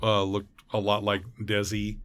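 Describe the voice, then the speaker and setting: A man's voice: on the low side, measured pace. A middle-aged man telling stories in a casual interview as a podcast guest, acting out the people he quotes. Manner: animated storyteller who acts out quotes. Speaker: podcast guest, voice actor and former impersonator. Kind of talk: anecdotal interview chat.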